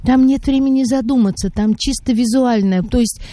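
A woman's voice: fairly high pitch, normal speed, mellow, no echo. A woman interviewing a child on the radio and asking probing, reflective questions.

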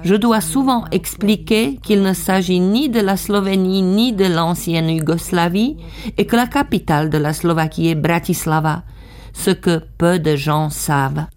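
A woman reading a calm first-person voice-over translation of an interview. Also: on the low side, unhurried.

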